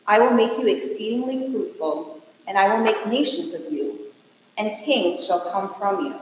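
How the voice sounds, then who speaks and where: A woman serving as lector, reading scripture aloud in a measured, formal way. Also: mid-range voice. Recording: very echoey hall, dull, faint noise.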